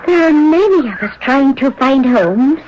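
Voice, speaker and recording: A woman's voice: high-pitched, lively intonation, a little slow. An actress playing an earnest, hesitant sixteen-year-old French country girl in a radio drama. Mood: earnest, pleading. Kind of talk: radio mystery drama acting. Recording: muffled, noisy old radio recording.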